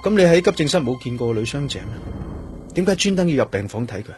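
An actor with a Hong Kong Cantonese accent playing a calm, persistent police detective in a radio drama, speaking in a measured, questioning way. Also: male voice, mid-range voice, faint music behind, natural voice. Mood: pressing, suspicious. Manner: measured, questioning, with noncommittal hums.

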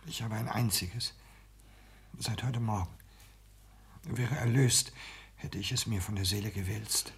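A man's voice: fairly low pitch, a little slow, vocal fry, long pauses, husky, full character voice. An actor playing a meek, frail man seeking lodgings, speaking hesitantly and formally in a German radio play. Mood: embarrassed, confiding. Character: timid, frail, earnest man lodging, secretly lovestruck. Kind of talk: 1960s German radio drama acting. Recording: hissy 1960s radio play recording.

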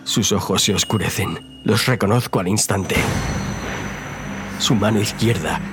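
Very deep-voiced horror narrator. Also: male voice, a little slow, over music. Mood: dread. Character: injured, frightened man narrating his own nightmare. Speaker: narrator in audio fiction. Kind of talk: scripted first-person horror narration.

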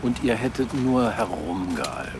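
Police officer role: stern, probing, lowish, animated intonation, noisy street ambience. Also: male voice, moderate pace, gravelly. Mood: stern questioning. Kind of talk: naturalistic radio drama dialogue. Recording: noisy outdoor street scene. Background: urban outdoor ambience, vehicles.

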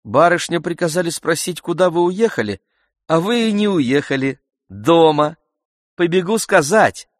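A lively young housemaid , as a male narrator acts her, speaking in a raised pitch. She is chirpy and deferential, in the manner of an audiobook character. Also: normal speed, no room sound, studio quality, natural voice. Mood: brisk.

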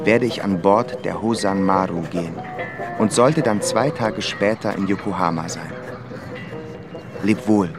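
A man playing a reflective traveling composer, reading his diary and letters in a radio drama. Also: low-pitched.

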